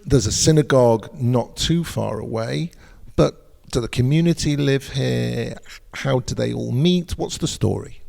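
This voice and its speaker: A gravelly-voiced man hosts a travel podcast in a chatty, curious way. His voice has a mid pitch and carries a long reverb. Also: unhurried, darkish tone. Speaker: podcast host.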